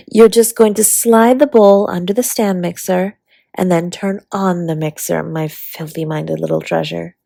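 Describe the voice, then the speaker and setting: A woman playing a flirty, affectionate dragon baker spouse in a romantic roleplay audio, with a breathy, husky, teasing delivery. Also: lowish voice, slow pace, a little mellow, no echo, studio quality, full character voice. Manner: teasing, intimate, often slipping into breathy whispers.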